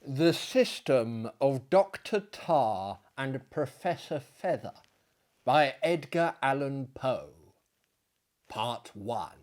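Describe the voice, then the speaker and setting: A man in his thirties reading a literary tale aloud in a theatrical, gentlemanly manner, with dramatic pauses and chatty asides. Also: mid-range voice, expressive. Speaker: storyteller, host. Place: small book-lined living room, indoors.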